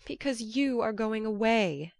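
A woman's voice: on the high side, animated, a little slow, no echo, clean studio sound, pitched above the performer's own voice. Woman acting a young woman in love, earnest, plaintive, heartfelt, storybook character. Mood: tearful. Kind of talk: audiobook character voice.

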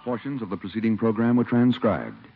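A man's voice: on the low side, animated, fairly quick. A man making network announcements in a formal 1950s radio style. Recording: tinny, band-limited 1950 radio recording, music.

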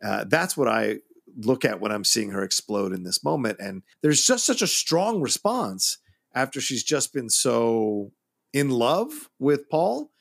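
A man's voice: mid-range voice, sing-song, a little slow, creaky, a bit bright. A man co-hosting a casual film discussion podcast, musing animatedly with lots of fillers. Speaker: podcast co-host.

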